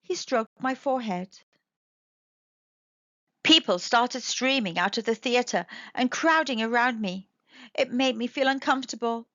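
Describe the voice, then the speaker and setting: A woman's voice: a little high, measured pace, pauses often, bright, forceful tone. A woman narrating a children's story expressively from a script as a storyteller. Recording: slightly dull, echoey home recording.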